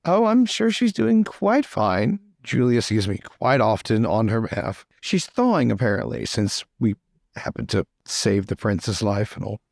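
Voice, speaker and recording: A man's voice: fairly low pitch, big pitch swings, unhurried, vocal fry, darkish tone, dry recording, clean studio sound, pitched below the performer's own voice, full character voice. A man playing a breezy, teasing young lord in a tabletop game, with playful bursts of repeated words. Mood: breezy, chatty.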